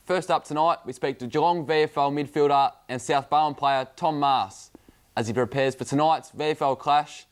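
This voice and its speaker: Higher-pitched Australian male sports reporter. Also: moderate pace, clear, resonant tone. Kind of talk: scripted broadcast news read.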